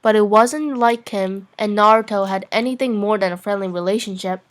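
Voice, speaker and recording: A woman's voice: mid-range voice, fairly quick. A young woman narrating fan fiction as an amateur dramatic reading, playful and sometimes stumbling over her lines.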